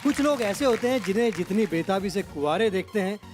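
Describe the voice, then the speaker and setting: A man with a fairly high voice co-hosts an award show, setting up jokes and announcing presenters. Music and a crowd are heard behind him. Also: animated, fairly quick. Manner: joking, storytelling. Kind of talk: stage emcee with scripted jokes.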